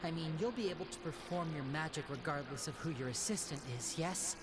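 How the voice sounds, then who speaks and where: An actor voicing an earnest young male stage magician in a video game, speaking in a measured, solemn way over loud background ambience. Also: a little high, lively intonation, noisy background, natural voice. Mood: grave, bitter. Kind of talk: scripted video game dialogue.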